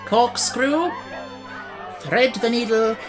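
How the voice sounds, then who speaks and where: A woman's voice: on the high side, very slow, music in the background. A woman acting a merry caller of party dances in a radio play, calling out the steps.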